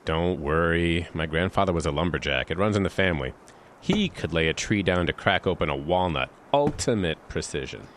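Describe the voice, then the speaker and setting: An actor playing a stubborn, reassuring boyfriend in an audio drama, with an emphatic, expressive delivery. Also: male voice, low voice, a little fast, slight background noise. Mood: cocky, cheerful. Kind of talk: audio drama acting with inner-monologue narration.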